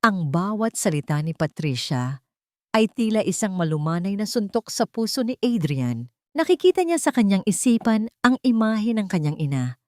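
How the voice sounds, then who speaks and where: A woman narrating a scripted romantic drama as a measured, suspenseful storyteller. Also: medium pitch, sing-song, dark tone, no echo, clean studio sound.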